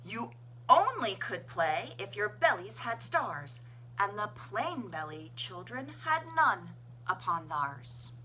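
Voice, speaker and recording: A woman's voice: medium pitch, sing-song, takes it slowly, pushed and bright, slight background noise, poor audio. A woman reading a rhyming children's story aloud with dramatic, playful emphasis. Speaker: children's library story reader. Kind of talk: expressive read-aloud storytelling in rhyme.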